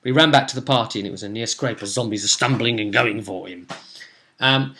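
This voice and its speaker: A man, casually recounting a tabletop role-playing session in an animated, rambling way and slipping into bits of dialogue. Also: on the low side, a little fast, a little hiss or hum. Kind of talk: casual rambling storytelling to camera. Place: small home room, indoors.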